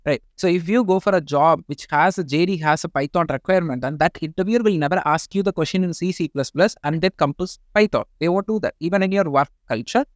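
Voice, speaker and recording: A man's voice: on the high side, fast, hardly any pauses, no room sound. A man in his thirties with an Indian English accent, talking animatedly to camera in a small home studio. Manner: animated, gestures a lot while explaining. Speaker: tech YouTuber. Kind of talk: casual talk to camera.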